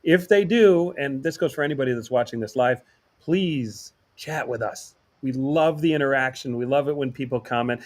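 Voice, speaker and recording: A man's voice: medium pitch, normal speed, darkish tone. A man co-hosting a podcast, sounding nasal while getting over the flu, chatting and joking in a rambling, casual way. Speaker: podcast co-host. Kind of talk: casual chat.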